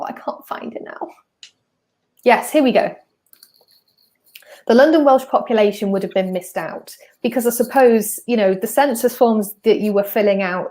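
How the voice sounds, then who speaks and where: A woman's voice: medium pitch, darkish tone. A woman hosting a live online talk over a video call, speaking in a friendly, chatty way with frequent fillers. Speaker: host.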